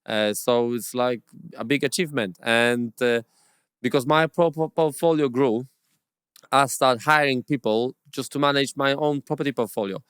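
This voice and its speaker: A man who is a property investor, telling his story as an interview guest in halting, non-native English with many fillers and restarts. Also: mid-range voice, fairly bright tone, clear, resonant tone, dry recording, clean studio sound.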